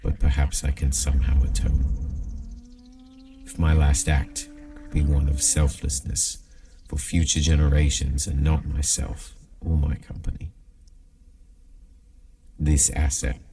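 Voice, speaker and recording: Man acting a very deep, solemn, remorseful leader. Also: flat delivery, warm tone. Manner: grave, measured, formal. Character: solemn, remorseful company leader seeking atonement. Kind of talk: audio drama acting, solemn prepared address. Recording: distant from the mic, music behind. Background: music.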